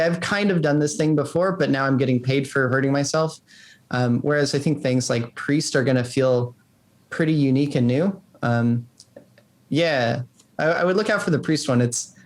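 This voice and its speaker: A man answering interview questions as a game developer, casual and rambling, often saying "like". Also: a little high. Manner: rambling, peppered with "like".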